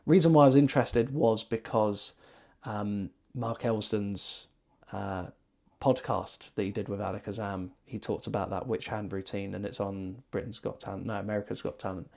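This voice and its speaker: A man's voice: on the low side, fairly even intonation, moderate pace, gravelly, a little mellow. A man casually recapping an event in a rambling way, with many ums and self-corrections. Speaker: magician reviewing a convention.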